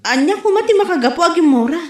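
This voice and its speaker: A woman's voice: extremely high pitch, lively intonation, fast. A woman playing a nosy, gossipy neighbour in a comedy drama. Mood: curious. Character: nosy woman neighbour chatting with her close friend. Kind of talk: Ilocano comedy radio-style drama. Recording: roomy echo on the voice. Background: music.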